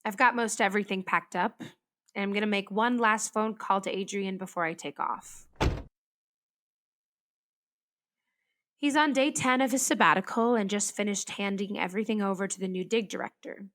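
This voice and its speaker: Actress as a wistful, searching storm-chasing meteorologist. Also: female voice, medium pitch, fairly quick, fairly bright tone, close to an everyday voice. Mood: reflective, hopeful. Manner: thoughtful, searching, hesitant. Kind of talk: confessional monologue in an audio drama.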